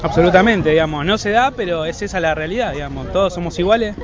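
A man's voice: high-pitched, animated, quick pace, crowd noise. An Argentine-accented man giving earnest, emphatic, unscripted answers in an interview. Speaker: street interviewee. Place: outdoors in a city street. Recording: dull outdoor street recording.

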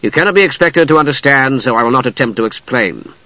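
Man playing a cold, haughty knight, crisply forceful. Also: medium pitch, lively intonation, fast talker, dry recording, muffled recording. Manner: curt, formal, disdainful. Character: cold, haughty, acidly impatient male knight.

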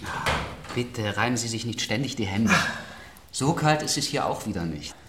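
An actor playing a querulous, ailing baron with a mocking, grumbling manner in a radio play. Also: male voice, on the low side, lively intonation, normal speed, heavy background noise, close to an everyday voice. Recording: roomy, echoing interior.

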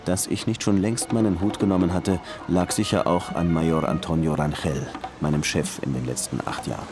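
A man's voice: deep voice, fairly even intonation, normal speed. An actor playing a disillusioned police lieutenant in his thirties who wants to write, brooding and wry, in a radio crime drama. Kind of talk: radio crime drama, first-person narration and dialogue. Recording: music behind the voice.

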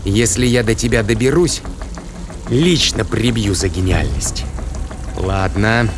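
A man playing a sardonic, impatient spaceship captain, gruff and muttering, in a sci-fi audio drama. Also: on the low side, takes it slowly. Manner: muttering to himself, sarcastic, gruff commands. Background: dramatic music and effects.

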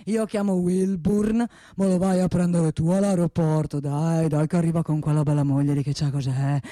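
Woman playing a mellow, self-satisfied ageing male boss. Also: deep voice, fairly even intonation, moderate pace, no echo, clean studio sound, close to an everyday voice. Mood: scornful, jovial. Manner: rambling, repetitive, with frequent questioning tags. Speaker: actor in a comic radio play. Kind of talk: theatrical comic monologue.

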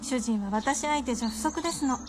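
A woman's voice: high voice, fairly quick, noisy background, close to an everyday voice. A woman playing a genteel, self-possessed doctor's wife with a teasing streak, speaking refined, formal lines in a period radio drama. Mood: playful, teasing.

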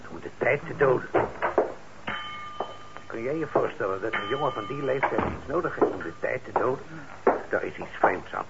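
An actor in a radio play as a kindly, trusting middle-aged shopkeeper, speaking gently and haltingly with patient encouragement. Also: male voice, fairly low pitch, creaky. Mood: reflective. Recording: dull, noisy old radio recording.

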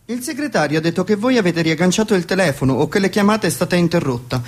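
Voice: medium pitch, expressive, close to an everyday voice. A performer playing a dutiful male butler in a 1920s radio comedy, formal and deferential in manner. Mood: explanatory. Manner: formal, deferential, measured announcements. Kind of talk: radio play acting of a 1920s stage comedy.

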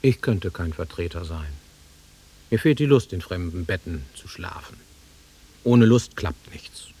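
An actor playing a nostalgic, easily offended middle-aged man with a husky voice in a naturalistic radio drama. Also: low-pitched, lively intonation, a little slow, dark tone, full character voice. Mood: insistent. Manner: wistful, insistent, easily offended. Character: nostalgic, touchy middle-aged man revisiting his childhood fields.

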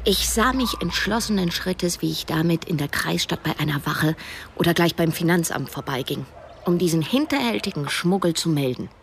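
Low, creaky-voiced wry female narrator. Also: expressive, moderate pace, a little hiss or hum. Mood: conflicted. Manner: wry, introspective. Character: cash-strapped woman narrator, wry, conflicted amateur sleuth. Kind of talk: first-person radio drama narration.

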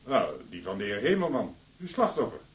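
An actor playing a stern court president in a Dutch audio drama, speaking in formal, measured, official phrases. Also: male voice, on the low side, wildly swooping pitch, husky, heavily acted.

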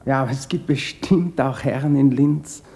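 A man's voice: medium pitch, normal speed, creaky, warm tone. An actor playing a self-assured, flirtatious, condescending male musician in a naturalistic radio drama. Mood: flirtatious, probing. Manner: nonchalant, probing, patronising.

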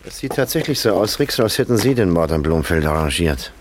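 An actor playing a suspicious, businesslike police detective in a crime radio play. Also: male voice, low-pitched, sing-song, brisk, a little hiss or hum.

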